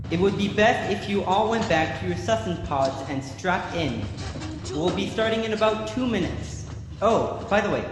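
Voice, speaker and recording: A man's voice: high voice, echoey room, in a large hall, background noise. A young man playing an injured, dutiful teenage boy pilot in a sci-fi radio play. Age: young adult.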